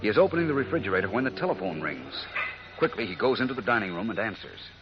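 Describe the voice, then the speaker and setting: A man's voice: on the low side, big pitch swings, fast, dull audio. A man giving dramatic 1940s radio serial narration on an old recording. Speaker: announcer, narrator. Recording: old radio recording, loud music behind.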